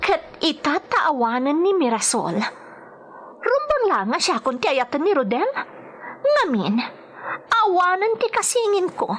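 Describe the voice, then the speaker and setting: A woman's voice: very high voice, very animated, unhurried, long pauses, fairly bright tone, slight background noise, full character voice. A woman playing an intense, defiant grown daughter, lovesick and jealous, in an emotional, melodramatic radio drama style. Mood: lovesick, desperate. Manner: emotional, insistent. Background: background music.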